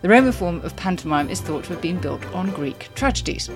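A woman hosting a podcast, speaking in an articulate, measured way as she introduces topics and questions a guest. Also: on the low side, expressive. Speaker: podcast host. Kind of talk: scripted introduction and interviewing. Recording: music under the voice. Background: music.

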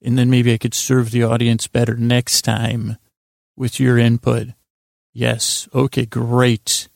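A man with a gravelly, creaky voice hosts a sleep podcast in a close, dry recording. He speaks in lulling tones at a middling pitch. His monologue wanders and halts, gently poking at himself as it goes. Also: monotone, dry recording. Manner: meandering, halting, gently self-deprecating. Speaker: podcast host.

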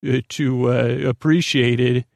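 A man hosting a bedtime podcast in a lulling, creaky voice, rambling haltingly through digressions and interrupting himself. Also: medium pitch, fairly even intonation, a little mellow, no echo. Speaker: podcast host.

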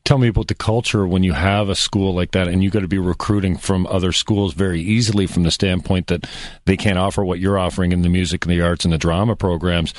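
Deep-voiced male interviewer. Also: fairly even intonation, no room sound, studio quality. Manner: brisk, leading questions. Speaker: host.

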